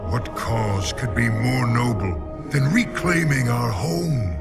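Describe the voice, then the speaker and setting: An actor voicing a proud, devout alien warrior lieutenant with formal, declamatory speech. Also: male voice, mid-range voice, expressive, takes it slowly, creaky. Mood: proud, fervent. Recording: loud dramatic music under the voice. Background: loud music.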